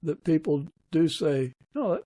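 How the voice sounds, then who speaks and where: A man's voice: fairly high pitch, big pitch swings, measured pace, warm tone, breathy edge, no echo. A man speaking as the host of an interview podcast. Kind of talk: casual interview hosting.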